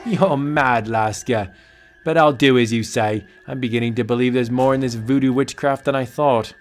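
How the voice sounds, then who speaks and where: A man playing a blustering, scornful colonial colonel, loud and dismissive, in a comic book audio drama. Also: mid-range voice, steady tone, moderate pace, soft background music, lightly acted. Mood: alarmed.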